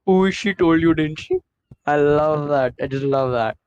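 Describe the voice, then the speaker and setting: A man's voice: on the high side, unhurried, a little mellow, lo-fi sound. A man streaming a game live, chatting casually with joking, exclamatory banter.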